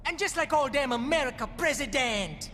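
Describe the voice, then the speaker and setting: A performer voicing a cheeky, excitable young Guyanese boy with blunt creole speech in a video game. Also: high voice, normal speed, soft background music. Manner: creole grammar, cocky and blunt. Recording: very echoey, reverberant tunnel sound.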